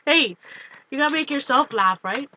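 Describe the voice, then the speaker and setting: A woman with a light Canadian accent, shaded by time spent in Minnesota, chats loosely to her viewers. Her voice is bright and forward, and she wanders from one thought to the next while showing her journals from home. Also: sits high, animated, moderate pace, low-quality recording. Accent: Canadian with a Minnesota tinge. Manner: rambling, chatty, talks to viewers. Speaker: art journal vlogger. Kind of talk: casual chat while flipping through art journals. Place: home, on a couch.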